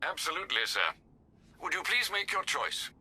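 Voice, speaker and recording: An actor playing a courteous older butler in a video game, formal, precise and dryly witty. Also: male voice, high voice, very animated, moderate pace, bright, forceful tone, a little hiss or hum, full character voice. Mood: polite. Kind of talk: video game cutscene acting.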